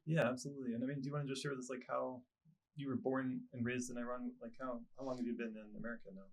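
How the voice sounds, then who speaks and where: A man's voice: mid-range voice, little pitch movement, racing. A male podcast host asking brief prompting questions in an interview. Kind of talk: interview conversation. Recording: distant off-mic voice.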